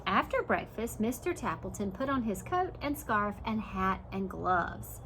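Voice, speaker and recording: A woman's voice: mid-range voice, big pitch swings, measured pace, noisy background. A woman preschool teacher reading a picture book aloud and stopping to explain it simply for young children. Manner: simple explanatory asides, dramatized story reading.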